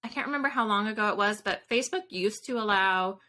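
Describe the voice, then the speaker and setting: A woman's voice: medium pitch, fast talker, bright, forceful tone. A woman in her thirties chatting casually as an interview guest, informal and prone to wandering off and rephrasing. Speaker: author and podcast guest. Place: indoor room with bookshelves, on a video call.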